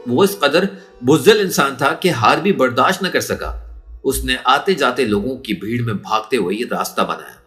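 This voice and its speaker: A man's voice: mid-range voice, bright, forceful tone. A man narrating a story in a dramatic, emotive and suspenseful way. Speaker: audiobook narrator.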